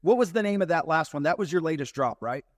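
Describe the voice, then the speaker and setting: A man hosting a casual interview, animated and joking with his guests. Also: on the high side, fast talker, no echo, studio quality. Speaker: podcast host.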